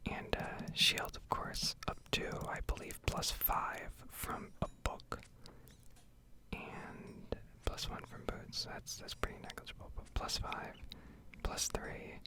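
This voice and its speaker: Whispering woman, soft ASMR game commentary. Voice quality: breathy, unvoiced whisper. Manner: unhurried, rambling, thinking aloud. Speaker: gaming ASMR video host.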